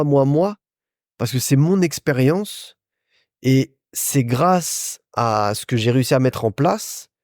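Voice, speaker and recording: A man's voice: medium pitch, darkish tone, no room sound, clean studio sound. A young man hosting a casual business podcast, talking straight to the listener with lots of repetition and restarts. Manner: chatty, addresses listener directly, repeats himself. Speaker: podcast host. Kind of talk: casual business advice talk.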